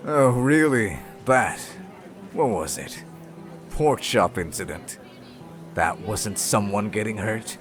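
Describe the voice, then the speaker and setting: A man playing a deep-voiced, stern, righteous father. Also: animated, soft background music. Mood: stern, insistent. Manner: righteous, slow and measured, disapproving. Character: stern, unyielding father, a veteran monster exterminator. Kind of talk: audio roleplay drama acting.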